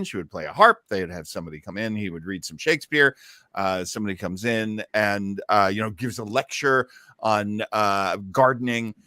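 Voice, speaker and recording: A man's voice: lowish voice, sharp tone, no room sound, studio quality. A man in his fifties hosting a casual panel discussion, explaining things in a hesitant way. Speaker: host of an old-time radio listening party.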